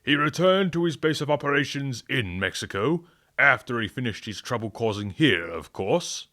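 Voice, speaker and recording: An officious government man from a western radio drama. The voice is forceful and crisp-edged, with animated intonation. He speaks formally and with stern authority. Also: medium pitch, moderate pace.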